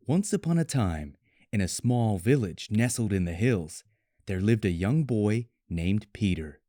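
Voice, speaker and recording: A man with an American accent reading a scripted storybook tale for English learners in clearly phrased, measured storytelling. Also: lowish voice, animated, mellow, no echo, clean studio sound. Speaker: story narrator.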